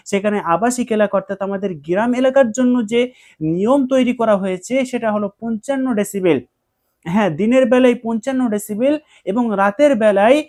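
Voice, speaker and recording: A man giving explanatory commentary to viewers, emphatic and repeating his points. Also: extremely high pitch.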